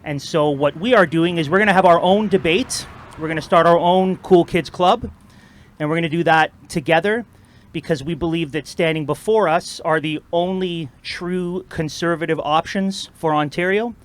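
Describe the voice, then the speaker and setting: A man with a somewhat high voice opens an outdoor debate. He speaks in an upbeat, rallying way as he welcomes the crowd and introduces the debaters. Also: moderate pace. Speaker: debate moderator. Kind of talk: opening remarks to a live crowd.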